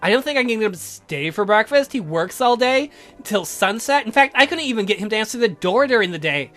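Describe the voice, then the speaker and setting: A woman's voice: a little high, animated, fast talker, fairly bright tone, faint music behind. A woman voicing a reserved, lovestruck young woman in a visual novel, dry and hesitant. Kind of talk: visual novel read aloud in character.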